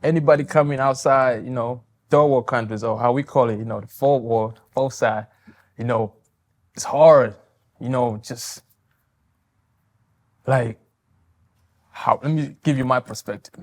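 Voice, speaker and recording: A man in his twenties from Tanzania, answering interview questions politely, softly and with loose, hesitant phrasing. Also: mid-range voice, fairly even intonation, a little slow, long pauses. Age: 20s.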